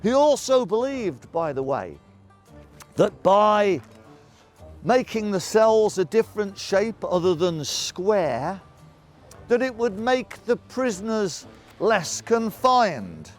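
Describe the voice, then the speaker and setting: A middle-aged man telling local history as a town crier, in a jovial, hammy, exclamatory manner full of rhetorical questions and dramatic pauses. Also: sits high, big pitch swings, faint music behind. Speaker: town crier storyteller and guide. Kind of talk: theatrical historical storytelling to camera.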